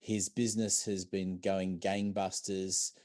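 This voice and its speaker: Deep-voiced, rambling male podcast host. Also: little pitch movement, takes it slowly, a little mellow. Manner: loose, rambling questions. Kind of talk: casual interview hosting.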